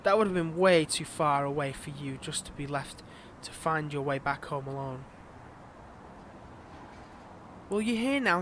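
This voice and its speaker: A man's voice: on the high side, background noise, natural voice. A man playing a smug, possessive fantasy villain in a roleplay audio drama, with a taunting, theatrical manner. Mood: wounded, coaxing.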